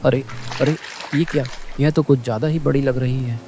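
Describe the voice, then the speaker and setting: A man voicing a humble, kind and worried poor fisherman in an earnest way for an animated moral story. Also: medium pitch, moderate pace, noisy background, lightly acted. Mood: surprised.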